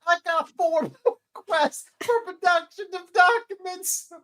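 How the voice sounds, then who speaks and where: A woman playing a flustered, indignant litigant who pleads her case in a halting, repetitive way in a dramatic reading of a court hearing. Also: extremely high pitch, fairly bright tone. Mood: indignant, pleading.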